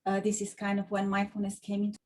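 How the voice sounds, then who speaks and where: A woman's voice: mid-range voice, completely flat delivery, fast talker, darkish tone. Bulgarian-accented woman, chatty. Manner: chatty, with frequent fillers and restarts. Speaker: guest speaker. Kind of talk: online talk. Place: home video call. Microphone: computer mic on a video call.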